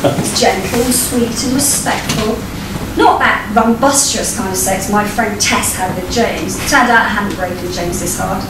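A middle-aged woman, reading a short story aloud in a wry, theatrical storytelling style with deliberate phrasing. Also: medium pitch, distant mic. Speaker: writer reading their own short story. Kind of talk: live expressive storytelling reading. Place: small vaulted performance venue, on stage. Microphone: distant camera microphone. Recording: echoey stage recording from afar, noisy room. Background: live audience ambience.

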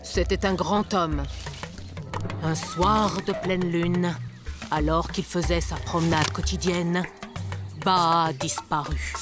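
A low-voiced, solemn forest judge. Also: female voice, slow. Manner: solemn, deadpan storytelling. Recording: music behind the voice.

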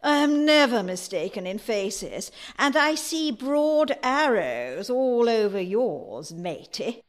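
Woman as haughty lady, slow and swooping. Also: on the high side, big pitch swings. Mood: disdainful. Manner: pompous, disdainful, deliberate. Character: haughty, judgmental unmarried titled lady. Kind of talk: radio play acting.

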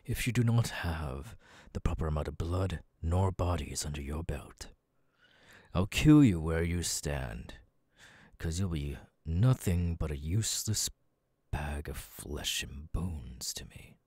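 A deep, husky demon lord, acted by a man, speaks slowly and closely in an ASMR roleplay. He is cold, menacing and commanding, often sinking into breathy murmurs as he looks down on his listener. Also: animated, a little mellow, no echo, full character voice. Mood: menacing, domineering. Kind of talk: ASMR audio roleplay monologue.

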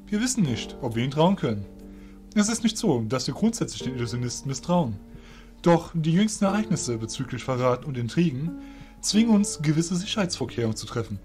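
A man playing a young fairy general, composed and courteous, speaking in formal, measured phrases in a fantasy audio drama. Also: fairly high pitch, darkish tone.

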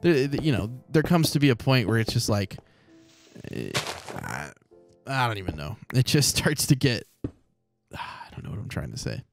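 A man casually commenting on his own gameplay, rambling and correcting himself as he goes, his voice a little hoarse from recording for a long time. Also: medium pitch, sing-song, moderate pace, long pauses, over music. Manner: rambling, self-correcting, chatty. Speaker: Let's Play creator.

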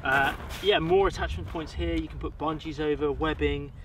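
Man, thirties, upbeat and chatty, slightly high voice, outdoor camera mic, strong wind noise. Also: steady tone, normal speed. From United Kingdom. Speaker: outdoor vlogger. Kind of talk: casual show-and-tell to camera. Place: windy pebble beach outdoors. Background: wind and shore ambience.

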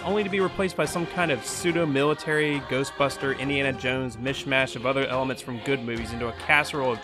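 A man in his thirties hosting a scripted video essay as a critic, sardonic and emphatic in his opinions. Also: mid-range voice. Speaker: video game movie critic, show host. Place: small furnished bedroom, indoors.

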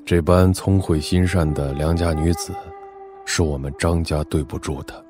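A man playing a weary, tender aging father and high minister in an audio drama, grave and reflective. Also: fairly even intonation, moderate pace, close to an everyday voice. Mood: gentle, regretful. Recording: music under the voice.

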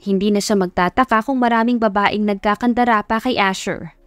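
A woman's voice: mid-range voice, steady tone, a little fast, no echo, faint music behind. A woman reading a scripted love story as its narrator.

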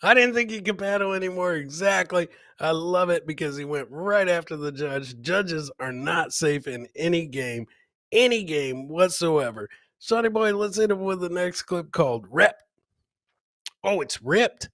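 A man's voice: fairly high pitch, animated, measured pace, fairly bright tone, dry recording, studio quality. A middle-aged man chatting and joking as he hosts live reactions to viral clips, often repeating his phrases. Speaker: comedy host.

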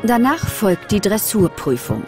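A woman's voice: medium pitch, big pitch swings, unhurried, over music. A woman narrating a scripted children's audio story.

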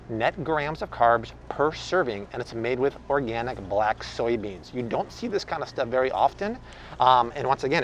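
A man's voice: medium pitch, a little hiss or hum. Upbeat man talking through a face mask. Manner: enthusiastic, punchy, addresses viewers as fam. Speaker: food vlogger. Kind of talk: casual grocery haul commentary. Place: large supermarket aisle. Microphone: handheld camera close up, wearing face mask.

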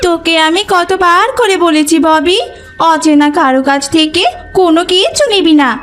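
High-voiced, fretful mother tigress. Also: normal speed, a bit bright, soft background music. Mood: concerned. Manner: motherly, questioning, scolding. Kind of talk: cartoon voice acting.

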